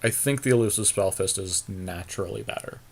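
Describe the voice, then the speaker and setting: Low-voiced man thinking aloud. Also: fairly quick. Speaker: podcast co-host. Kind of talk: casual chat while building a card game deck.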